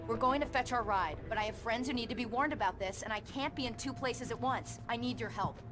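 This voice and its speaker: Woman playing a firm sheriff, higher voice, music behind. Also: a little fast, lightly acted. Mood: earnest. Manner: authoritative, no-nonsense. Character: firm, level-headed female small-town sheriff.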